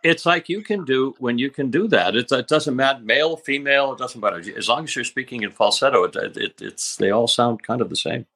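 A man's voice: medium pitch, fairly quick, hardly any pauses, pushed and bright. A man chatting as a guest, hesitant with many restarts as he explains. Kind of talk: casual chat.

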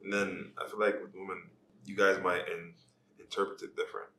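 A man's voice: low voice, level tone, fairly quick, sharp tone, slight background noise. A man with a Jamaican accent chatting casually as a podcast guest, speaking in a loose, slangy way. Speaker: podcast guest, social media page creator. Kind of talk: casual interview chat. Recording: echoey room.

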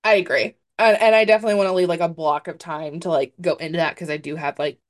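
Young woman with a kinda deep voice, rambly casual podcast chat, lots of likes. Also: steady tone, quick pace. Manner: chatty, hedging with many fillers. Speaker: podcast co-host.

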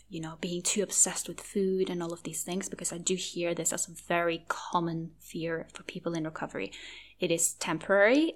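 A young woman hosts her own podcast in a slightly low voice. She speaks in earnest and reassuring tones and repeats her main points for emphasis. Now and then she drops to a soft near-whisper. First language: Norwegian. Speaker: podcast host. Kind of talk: solo podcast advice talk.

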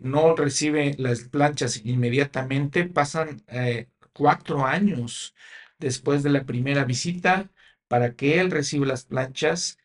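A Spanish-speaking man hosting a religious podcast, explaining history in a teaching manner, with frequent fillers and restarts. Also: a little high. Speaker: podcast host.

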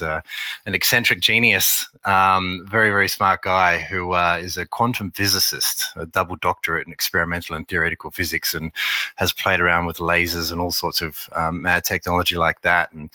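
A man's voice: sits low, no echo. A man with an Australian accent, a marketing officer as a podcast guest, answering at length with many fillers. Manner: relaxed, rambling with many fillers.

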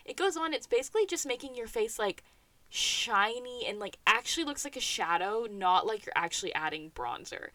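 A woman's voice: a little high, expressive, normal speed, bright, forceful tone, dry recording. A young woman co-hosting a podcast, chatting casually and telling stories with frequent laughter. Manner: chatty, storytelling, laughs often. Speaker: podcast co-host. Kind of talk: casual chat.

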